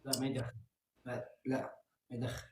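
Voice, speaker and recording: A man's voice: mid-range voice, monotone, brisk. A man chatting casually, in short remarks. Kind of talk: casual chat. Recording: distant roomy mic with background noise.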